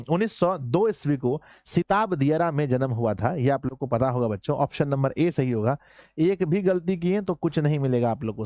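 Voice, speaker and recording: A man teaching an online class in a casual, joking way, slightly hoarse, often calling his students children. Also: fairly high pitch, a little mellow, no room sound, studio quality. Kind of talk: casual live class lesson.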